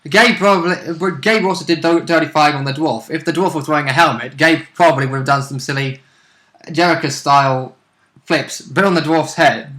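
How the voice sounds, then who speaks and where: A man's voice: on the high side, brisk, bright, forceful tone. A man co-hosting a tabletop role-play recap in casual, joking, sweary chat.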